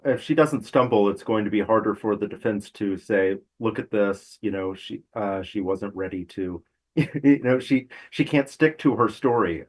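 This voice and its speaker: A male crime reporter with a fairly low voice gives conversational analysis as a guest. He explains details step by step, in a halting way with pauses as he picks his phrasing.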